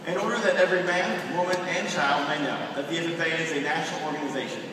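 A man's voice: on the high side, fast, background noise. A young man reciting formal ceremony lines in a declaiming way, heard through an arena PA. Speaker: reporter of a youth organization. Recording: echoey arena PA from far off.

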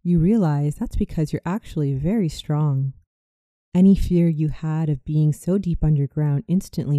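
A narrator guiding a scripted visualization meditation in a soothing, measured way. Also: mid-range voice, warm tone, no room sound. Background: music.

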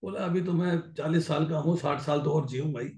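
A man's voice: on the high side, brisk, darkish tone, roomy sound. Older man giving a devotional talk. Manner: storytelling teacher, mixing in English phrases, clears throat often. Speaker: spiritual lecturer. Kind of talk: devotional discourse on an online video call. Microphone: video call.

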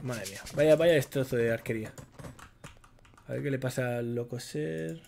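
Brief: young male esports caster, lively analytical commentary, headset mic. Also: medium pitch, moderate pace, gravelly, slight background noise. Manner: analytical, lively, reacts to plays. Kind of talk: live game commentary.

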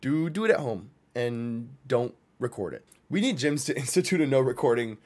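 A man's voice: mid-range voice, expressive, normal speed, darkish tone. A young man giving casual, blunt, slangy reaction commentary with an exasperated manner. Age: young adult. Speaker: reaction video host. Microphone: boom microphone close by.

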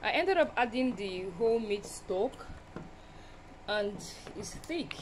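A Nigerian woman with a mid-pitched voice talks casually to her viewers as a food vlogger. She is chatty, thinking aloud and exclaiming as she goes. Clear kitchen noise runs behind her on a handheld phone recording. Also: animated, takes it slowly. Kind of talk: casual cooking vlog chat. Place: small home kitchen, indoors. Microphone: handheld phone behind the camera. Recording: noisy phone recording with kitchen cooking sounds. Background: clear cooking noise.

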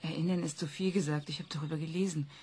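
In a radio play, a polite but skeptical interviewer who asks cool, probing questions. Her voice sits at a mid pitch, and a steady hiss runs beneath it. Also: fast.

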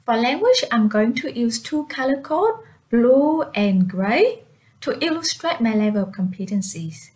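A woman whose first language is Vietnamese, narrating a step-by-step software tutorial as its presenter. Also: a little high, big pitch swings, unhurried.

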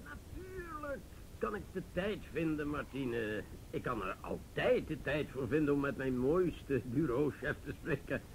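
Patronising, avuncular male police boss for radio drama, highish voice, lively intonation, flirty and stammering. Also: measured pace, low-quality recording. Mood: jovial, flirtatious. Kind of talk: Dutch radio drama acting. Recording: rumbly radio play with faint noise.

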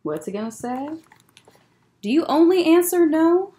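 Young female children's librarian, higher-pitched, very animated storytime read-aloud with chatty asides. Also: measured pace. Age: young adult. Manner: expressive, child-directed storytelling. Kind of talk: picture book read-aloud with casual asides. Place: library room lined with bookshelves, indoors.

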